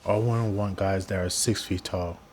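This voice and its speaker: A man with a very deep voice reacts to a video in loose, casual talk. He rambles and keeps restarting his sentences, and his delivery stays low and monotone. Faint noise hums under the recording. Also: normal speed.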